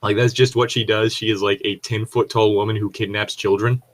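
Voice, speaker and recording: Low-voiced man rambling casually. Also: level tone, a little fast. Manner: rambling, freely swearing. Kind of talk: casual chat.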